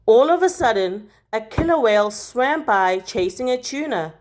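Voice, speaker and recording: A woman reading a children's picture book aloud like a teacher, expressively, with deliberate dramatic emphasis on key words. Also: fairly high pitch, lively intonation, darkish tone.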